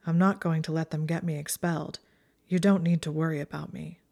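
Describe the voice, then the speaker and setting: A woman voicing a weary, guarded, dismissive young male student in an audiobook, flat and resigned. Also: sits low, fairly quick, creaky. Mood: tired, resigned.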